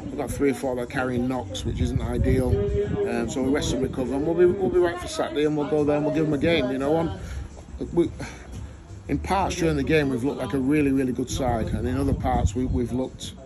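A man in his thirties, a football manager giving a frank, measured post-match interview, often repeating words as he thinks. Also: mid-range voice, fairly even intonation, music in the background. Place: outdoors at a football ground. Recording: loud wind and background noise.

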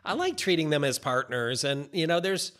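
A man's voice: fairly high pitch, expressive, normal speed, fairly bright tone. An American man in his forties chatting casually on a podcast, acting out quoted exchanges as he tells stories. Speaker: podcast host and film director. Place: indoor office, on a video call. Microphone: close boom-arm podcast mic.

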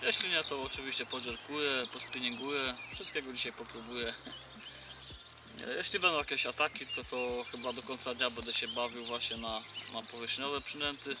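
A man's voice: mid-range voice, moderate pace. Young man vlogging from a windy lake. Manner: chatty, relaxed, with many hesitation fillers. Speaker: fishing vlogger. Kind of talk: casual outdoor fishing vlog. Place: outdoors on a boat on a lake. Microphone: camera mounted in front of him. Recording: distant mic, loud wind and outdoor noise.

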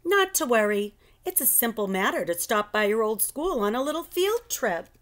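A woman's voice: fairly high pitch, big pitch swings, moderate pace, a bit bright, pitched above the performer's own voice. A woman voicing a cheerful, adventurous, unflappable schoolteacher with a breezy, commanding manner in a storybook. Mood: reassuring.

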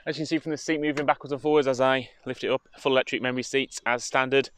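A British man giving an informative, steady walkaround presentation as a car dealership presenter, speaking into a handheld phone outdoors. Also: mid-range voice, very fast, clean tone. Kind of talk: walkaround product presentation, semi-improvised commentary. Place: outdoors in a car park, partly inside a car.

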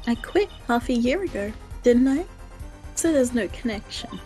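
A woman's voice: a little high, expressive, moderate pace, warm tone, soft background music, close to an everyday voice. A woman voicing a sly, haughty young woman witness, flippant and dismissive, in a fan dub of a courtroom game. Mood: snippy.

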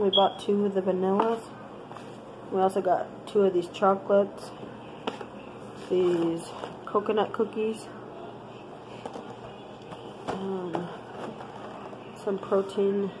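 A woman with a blocked, stuffy nose talks through a casual show-and-tell in a flat, level voice. She speaks on a phone held in her home kitchen, and there is clear hiss and noise under her voice. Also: mid-range voice. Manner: plain listing, unhurried, unscripted. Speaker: family channel host. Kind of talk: grocery haul show-and-tell. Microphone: handheld phone camera. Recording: noisy home phone recording.

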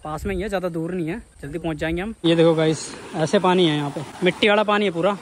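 Male travel vlogger, North Indian accent, high voice, colloquial and exclamatory, recorded outdoors with clear vehicle noise. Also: rapid-fire. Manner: colloquial, exclamatory, addresses viewers often. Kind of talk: casual travel vlog narration to viewers. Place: outdoors, much of it on a moving motorbike. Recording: noisy outdoor action camera, vehicle and street noise.

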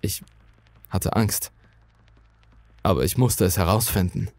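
Slow, low-voiced male horror narrator. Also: dry recording. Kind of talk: dramatic scripted creepypasta narration.

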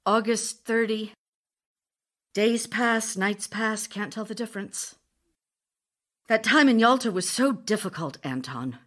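Slow-speaking, tender grieving widow. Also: female voice, fairly high pitch. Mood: wistful. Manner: tender, wistful, emotionally expressive, pausing delivery. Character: grieving widowed actress, tender, longing, distraught. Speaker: actor in an audio drama. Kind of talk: intimate audio-drama monologue of letters and diary.

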